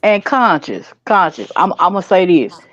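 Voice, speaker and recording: A woman guest with a deep voice, speaking emphatically in a casual panel talk. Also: big pitch swings, normal speed, no room sound, lo-fi sound. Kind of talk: casual debate chat.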